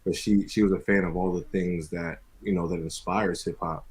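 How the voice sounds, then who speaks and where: Low, husky man in casual conversation. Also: steady tone, normal speed, darkish tone. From Maryland and Florida, US. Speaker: guest music producer. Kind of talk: casual interview chat.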